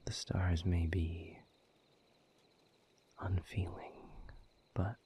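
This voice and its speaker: A man playing a weary, bitter exiled demon in an audio drama, speaking in a gravelly, breathy half-whisper with a slow, sardonic delivery. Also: deep voice, little pitch movement, dark tone, slight background noise, heavily acted. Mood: wistful sorrow. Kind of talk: dramatic audio roleplay monologue to the listener.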